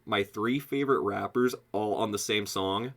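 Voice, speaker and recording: A young American man giving a casual, excitable album review, slangy and sweary, saying "like" constantly. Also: on the low side, normal speed, gravelly. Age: young adult. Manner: excitable, slangy, heavy use of "like", frequent swearing. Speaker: music reviewer.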